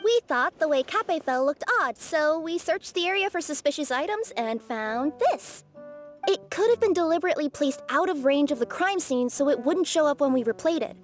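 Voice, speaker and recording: A woman's voice: sits high, lively intonation, moderate pace. A woman voicing a feisty, boastful little female cat courier in an anime-style video game, cutesy and brash. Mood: sly. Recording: loud music behind.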